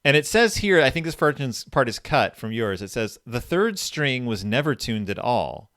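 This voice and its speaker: Animated man in casual story discussion. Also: medium pitch, normal speed, dry recording, studio quality. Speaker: podcast host discussing horror fiction. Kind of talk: casual chat, retelling and reading story passages.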